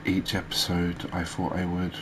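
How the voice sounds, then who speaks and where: A man hosting a gaming video, giving soft ASMR commentary in a halting, hesitant manner. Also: sits low. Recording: steady background noise.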